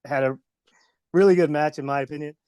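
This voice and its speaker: Southern-accented male guest, rambling laughing storyteller, somewhat high voice, dry sound. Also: steady tone, brisk, darkish tone, clear, resonant tone, no echo. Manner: laughing, rambling, uses many fillers. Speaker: guest wrestler. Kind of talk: casual storytelling.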